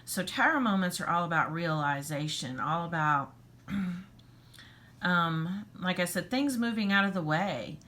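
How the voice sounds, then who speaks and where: A hoarse woman, losing her voice, giving a casual tarot reading in an earnest, rambling, reassuring way. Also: fairly low pitch, unhurried, pushed and bright. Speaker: tarot reader.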